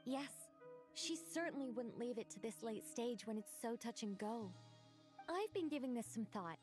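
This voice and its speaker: Fairly high female voice, thoughtful game scholar voiced by a woman, polite, articulate, soft music behind. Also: natural voice. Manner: articulate, measured explanation. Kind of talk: English video game voice acting. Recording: music under the voice.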